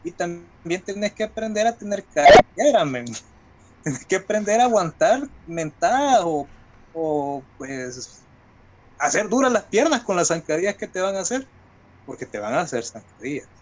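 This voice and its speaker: A man with a Salvadoran accent talks as a writer being interviewed. His voice sits a little higher than average. He is colloquial and reflective, building his points from examples. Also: very animated, moderate pace. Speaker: guest writer. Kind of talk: conversational interview talk.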